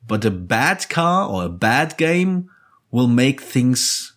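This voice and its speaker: Man on a board game podcast, thoughtful and analytical, dry jokes, average pitch. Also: big pitch swings, a bit bright. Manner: measured, analytical, dry wit. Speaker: podcast co-host. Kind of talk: casual discussion about board games.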